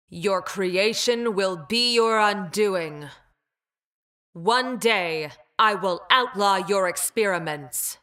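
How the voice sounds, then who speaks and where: An actress playing a cold, logical engineered creature in a video game, speaking in a deadpan, precise and formal way. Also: female voice, lowish voice. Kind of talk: video game character voice lines.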